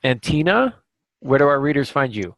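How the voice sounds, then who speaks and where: A man's voice: mid-range voice, big pitch swings, normal speed, fairly bright tone, dry recording. A man hosting a podcast in a casual, easygoing, self-deprecating conversational way. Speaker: podcast host.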